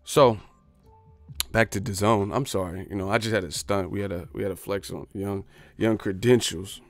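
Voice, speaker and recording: A man's voice: lowish voice, steady tone, normal speed, dry recording, faint music behind. A man ranting on a livestream in a boastful, profane, streetwise way. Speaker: boxing YouTuber. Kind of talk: livestream rant.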